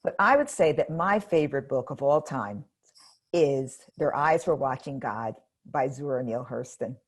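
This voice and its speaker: A retired educator, an older woman, answering interview questions in a measured, earnest way. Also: extremely low pitch, breathy edge.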